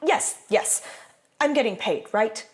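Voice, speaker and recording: A woman playing a jaded, rattled low-budget film director in an audio drama, blunt and wry but uneasy. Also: lively intonation, slow pace, background noise.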